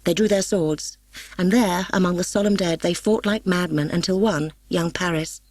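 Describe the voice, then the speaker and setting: A woman reading a story as an audiobook narrator, expressive and solemn. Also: on the low side, normal speed, no room sound. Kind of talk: dramatic scripted story narration. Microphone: close studio microphone, dry.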